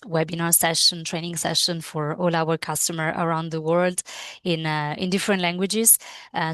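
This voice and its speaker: A woman whose first language is Italian presents a live software training webinar, pausing often with fillers and restarting her phrases. Her voice sits low. The sound is dry and close. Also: clear, resonant tone, no room sound. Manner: hesitant, many fillers and restarts.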